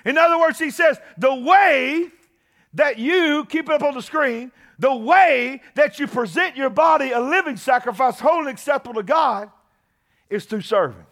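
Male pastor with a drawl, very high and bright, preaching with rising shouts. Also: normal speed. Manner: impassioned preaching, shouting at peaks. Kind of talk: sermon.

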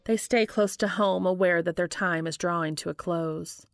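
A woman reading a story aloud as scripted dramatic narration, with a creaky voice. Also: lowish voice, normal speed, dry recording, studio quality. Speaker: audiobook-style reader of fan fiction.

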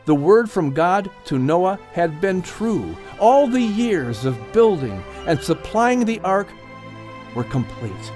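Animated male audiobook narrator. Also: high-pitched, slow, faint music behind. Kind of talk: expressive storybook narration.

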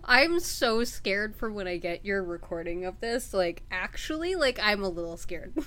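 A woman co-hosting a podcast over remote voice chat, chatty and halting, often restarting her sentences. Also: mid-range voice, lively intonation, a bit bright. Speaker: podcast co-host. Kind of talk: casual chat.

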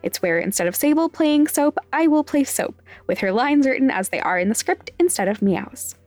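A woman's voice: sits high, big pitch swings, moderate pace, darkish tone. A woman reading scripted end credits as the creator of a podcast. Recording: dry studio voice over music. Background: faint music.

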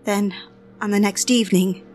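A woman's voice: medium pitch, fairly even intonation, moderate pace, over music, close to an everyday voice. An actress playing an anxious, lovelorn woman with wounded pride in a period melodrama, genteel and dramatic. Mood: sad, reflective. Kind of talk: period melodrama acting with first-person narration.

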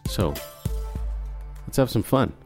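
A man's voice: lowish voice, big pitch swings, slow, darkish tone, faint music behind. A man hosting a podcast, introducing the episode and asking his guest curious, prompting questions. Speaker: podcast host. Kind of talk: casual interview and scripted intro.

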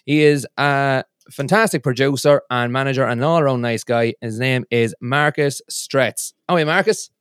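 A young man hosts his music podcast in an easy, chatty way, often restarting his sentences. His voice sits at a middle pitch and is recorded close and dry, with no room sound. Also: moderate pace, hardly any pauses, fairly bright tone, smooth, dry recording. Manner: friendly, chatty with many restarts. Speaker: podcast host. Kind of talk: casual podcast chat.

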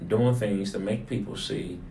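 Low-voiced male pastor in a roomy space. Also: measured pace, dark tone. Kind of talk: casual conversational discussion of a sermon. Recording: roomy echo with clear background noise.

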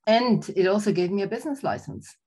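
An older woman from Austria, answering interview questions thoughtfully, with frequent pauses and restarts. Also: medium pitch, expressive, a little mellow, breathy edge, poor audio. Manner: thoughtful, many fillers and restarts. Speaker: guest, professor emerita. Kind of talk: conversational interview.

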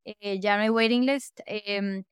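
A woman's voice: medium pitch, steady tone, takes it slowly, clear, resonant tone, no echo, clean studio sound. A young woman from Venezuela, a podcast guest chatting casually and enthusiastically about her work, often stacking words like "really" and "super.". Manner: enthusiastic, repeats "de verdad que" and "súper". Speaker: podcast guest, user researcher. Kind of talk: casual interview chat.

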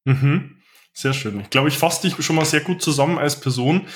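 A man's voice: a little high, normal speed. A man hosting an interview podcast as a personal trainer, asking structured, earnest questions.

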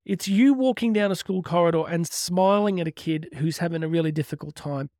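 A man's voice: a little high, a little fast, a little mellow, no room sound, clean studio sound. A man speaking as a motivational speaker on faith, earnest and emphatic, stretching key words and posing rhetorical questions. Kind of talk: motivational talk with religious teaching.

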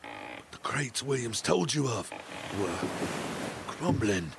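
An actor playing a superstitious, frightened sailor in an audio drama, earnest and insistent. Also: male voice, mid-range voice, huge pitch swings, slow pace, vocal fry, background noise, full character voice. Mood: earnest, haunted. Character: spooked, superstitious male sailor.

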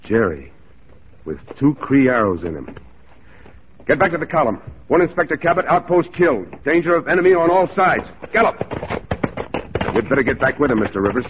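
An actor playing a stern, duty-bound mounted police sergeant with brisk, clipped orders in 1940s radio style. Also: male voice, mid-range voice, big pitch swings, lightly acted. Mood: brisk, alert. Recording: noisy, muffled 1940s radio recording.

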